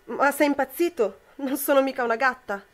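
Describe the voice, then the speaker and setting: A woman's voice: high voice, brisk, close to an everyday voice. A young woman playing a loving, incredulous wife in an amateur radio drama. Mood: shocked. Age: young adult.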